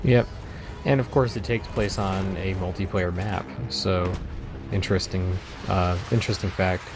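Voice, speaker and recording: A man with a low voice hosts a video game let's play in casual conversation. He comments on the play as it goes, with clear music and vehicle noise from the game behind him. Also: fairly even intonation, unhurried. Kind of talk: casual gameplay commentary and chat.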